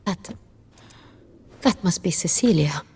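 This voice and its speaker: A woman's voice: lowish voice, lively intonation, takes it slowly, darkish tone, slight background noise. A woman in a video game playing a refined, commanding duchess with formal, genteel phrasing. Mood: shaken urgency. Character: noble young female duchess, refined, commanding and earnest.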